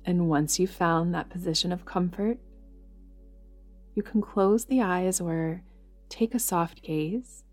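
Slow, low-voiced woman guiding meditation. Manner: gentle, unhurried, soothing instruction with long pauses. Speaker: meditation guide. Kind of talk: guided yoga nidra meditation. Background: background music.

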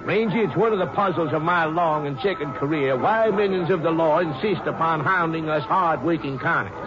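A man's voice: on the high side, moderate pace, close to an everyday voice. A man playing a wordy, evasive carnival showman with a florid, mock-courteous manner in a 1950s radio drama. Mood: smooth-talking. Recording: muffled old-time radio recording with music.

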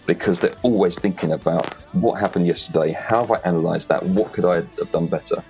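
A man's voice: low-pitched, a little fast, vocal fry, darkish tone, faint music behind, low-quality recording. A man with a Welsh accent, a podcast guest giving direct, confident advice on interviewing. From Wales. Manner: direct, confident, plain-spoken. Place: home in rural Wales.

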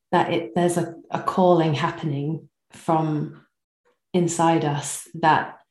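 Low-voiced woman host in an echoey room. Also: darkish tone. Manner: measured, affirming. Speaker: podcast host. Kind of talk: interview hosting.

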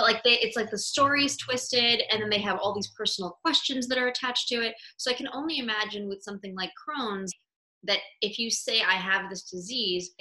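Guest: bright-toned woman, mid pitch, lively, playfully acts out quotes. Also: rough recording. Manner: animated, quotes others in voices. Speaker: panel guest. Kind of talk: casual panel chat.

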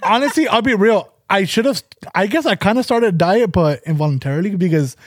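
A man's voice: sits high, animated, a little fast. A man co-hosting a podcast, joking, laughing and rambling loosely in casual chat. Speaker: podcast co-host.

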